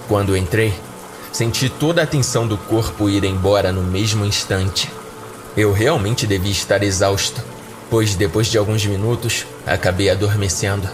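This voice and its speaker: A man with a Brazilian accent giving scripted first-person narration of a horror story as a podcast narrator. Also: fairly low pitch. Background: music.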